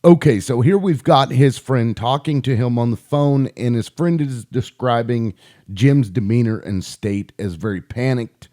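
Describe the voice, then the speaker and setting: Mellow-voiced man giving opinionated video commentary. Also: mid-range voice, measured pace. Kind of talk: informal opinion commentary over audio clips.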